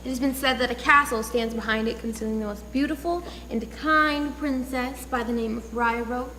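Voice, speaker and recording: A woman's voice: a little high, fairly even intonation, moderate pace, bright, forceful tone. A woman playing an earnest old man who tells grave legends in a readers theatre fairy tale. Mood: grave warning. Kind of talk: readers theatre stage reading. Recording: echoey noisy hall.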